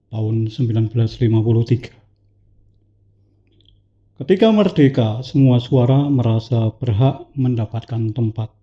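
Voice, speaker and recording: A man with a cough reading a poetic prepared talk to an audience, slow and measured, with dramatic pauses. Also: medium pitch, muffled recording. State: has a cough. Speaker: speaker at a talk event.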